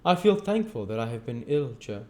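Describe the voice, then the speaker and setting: A man plays an earnest young storybook gentleman, pitched noticeably high. He speaks with affectionate, grateful sincerity and educated phrasing. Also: sing-song, a little fast, natural voice.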